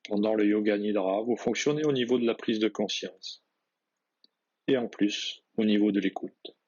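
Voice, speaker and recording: A man leads a guided relaxation meditation in a fairly low, husky voice. He gives measured, simple instructions and lets his phrases fall away softly. The recording sounds slightly dull and lo-fi. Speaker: yoga nidra guide.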